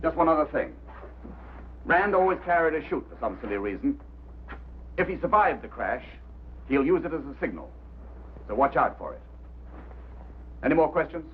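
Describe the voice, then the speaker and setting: An actor playing a brusque, impatient, skeptical mining company boss, commanding and dismissive, in mid-century film drama style. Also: male voice, on the high side, sing-song, moderate pace, pauses often, phone-quality sound. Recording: thin, noisy old film soundtrack.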